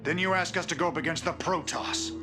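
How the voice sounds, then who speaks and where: A man's voice: fairly high pitch, fast, lightly acted. A man playing a wry, defiant soldier captain in a video game, speaking in a sardonic, plain-spoken way. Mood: indignant. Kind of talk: dramatic video game voice acting. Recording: loud music behind the voice.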